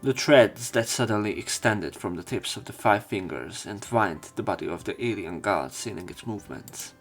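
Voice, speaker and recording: A narrator reading visual novel lines aloud in a let's play, in a somewhat halting way. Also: low-pitched. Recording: music behind the voice.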